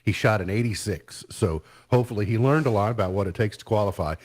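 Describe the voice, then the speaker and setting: Easygoing low-voiced radio host. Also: male voice, quick pace. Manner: chatty, easygoing, trails off mid-sentence. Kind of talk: casual entertainment news chat.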